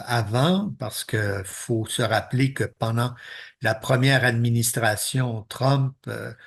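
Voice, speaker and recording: A man, co-hosting a political podcast and explaining events in a measured way. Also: mid-range voice, husky. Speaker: podcast co-host. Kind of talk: analytical political discussion.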